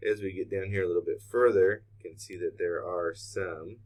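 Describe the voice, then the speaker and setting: Low-pitched man giving a casual tutorial. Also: fairly even intonation, moderate pace, a little mellow. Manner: casual, unscripted, thinking aloud while clicking. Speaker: software trainer. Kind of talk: informal screen-share tutorial.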